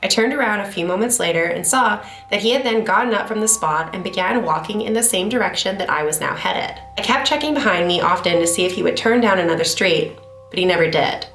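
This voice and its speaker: A woman's voice: mid-range voice, fast talker. Woman host, nasally congested from a cold, reads creepy stories over faint music in a roomy space. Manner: chatty and self-deprecating in the intro, steady when reading. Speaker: horror story reader. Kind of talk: reading scary stories aloud.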